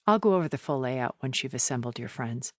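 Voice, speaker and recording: An actress playing a poised, cultured, wealthy woman in a video game, speaking in a measured, formal, refined way. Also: extremely low pitch, expressive, no room sound, clean studio sound. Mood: composed. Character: poised, cultured, wealthy woman, a thousand years old, sounding middle-aged. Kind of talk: video game character dialogue.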